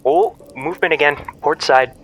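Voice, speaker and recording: A woman playing a teasing, excitable ghost hunter in an audio drama, with a wry, animated way of talking. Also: sits low, moderate pace, low-quality recording. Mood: alarmed. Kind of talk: audio drama acting, naturalistic dialogue.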